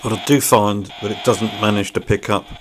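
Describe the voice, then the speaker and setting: A man's voice: on the low side, fairly even intonation, normal speed, noisy background. A man giving an unscripted product review in a plain-spoken, somewhat hesitant way. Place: indoors.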